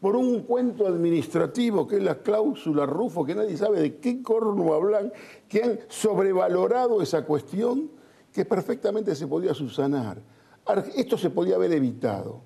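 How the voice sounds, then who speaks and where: A man's voice: sits high, expressive, moderate pace, gravelly, dark tone, breathy edge. A man with an Argentine Rioplatense accent giving blunt, emphatic, colloquial political opinions as an interview guest. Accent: Argentine Rioplatense Spanish.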